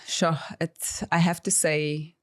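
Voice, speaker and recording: A woman's voice: low voice, level tone, slow pace. A South African woman with an Afrikaans accent, a native Afrikaans speaker, chatting casually and animatedly as an interview guest. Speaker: podcast guest, doctor.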